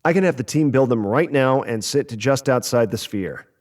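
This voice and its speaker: Male actor for matter-of-fact spaceship tech, mid-pitched, explanatory delivery, audio drama. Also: lively intonation, a little mellow, natural voice. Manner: measured, explanatory.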